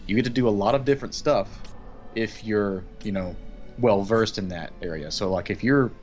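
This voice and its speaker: A man's voice: on the low side, moderate pace, a little mellow. A man chatting casually while playing a co-op game, easygoing and chatty with lots of fillers. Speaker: co-op gaming guest. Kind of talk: casual chat over gameplay. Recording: music playing behind.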